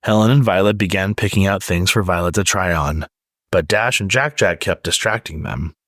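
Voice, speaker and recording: A man's voice: low-pitched, normal speed, a bit bright, dry recording, clean studio sound. A man narrating a children's storybook as a read-aloud. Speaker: storybook reader.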